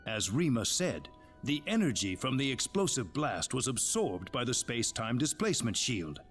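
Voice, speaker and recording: A knowledgeable, serious researcher in a video game , voiced by a man. He explains in a measured, gentle way with an animated, mid-pitched voice, and music plays softly behind him. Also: natural voice. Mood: solemn explaining. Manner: measured, expository. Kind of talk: video game dialogue acting.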